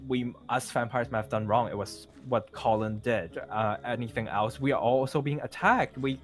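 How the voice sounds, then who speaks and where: A man's voice: mid-range voice, normal speed, faint music behind, lightly acted. A man playing a nervous, polite vampire in an improvised game roleplay, rambling and repeating himself as he pleads. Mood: hesitant. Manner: hesitant, repetitive, rambling.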